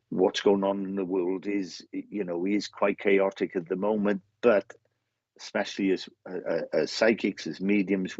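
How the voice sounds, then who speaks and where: Husky low-voiced man giving readings. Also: darkish tone, dry recording, lo-fi sound. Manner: halting, repeats phrases, many restarts. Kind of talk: live online spirit reading.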